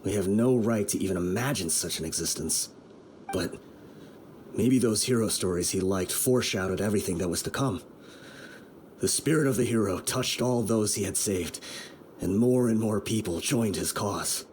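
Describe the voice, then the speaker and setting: A man's voice: on the low side, moderate pace, a little mellow, slight background noise, close to an everyday voice. A man playing a solemn, guilt-ridden and vengeful desert outlaw leader in a video game, speaking like a grave storyteller. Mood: rueful. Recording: music behind the voice.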